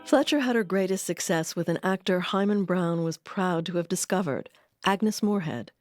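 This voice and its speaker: A woman reading scripted documentary narration in a measured, articulate manner. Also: on the low side, animated, a little mellow, no echo, studio quality, faint music behind.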